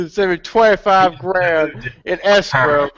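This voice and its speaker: A man in casual live-stream chat, halting and stammering as he talks. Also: sits high. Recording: dry, dull lo-fi mic.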